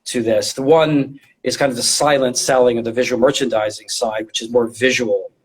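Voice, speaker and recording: A middle-aged man with a medium-pitched voice talks as a guest expert in an interview. He explains informally and conversationally. He often repeats a word or two while he works out the phrase. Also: steady tone, normal speed, slightly rough, rough recording. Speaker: guest, retail design and visual merchandising specialist.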